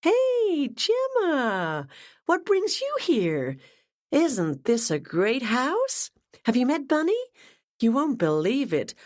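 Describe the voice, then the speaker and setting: A woman reader voicing a bubbly, excitable young woman who talks in breathless, high bursts. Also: takes it slowly, exaggerated voice. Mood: gushing.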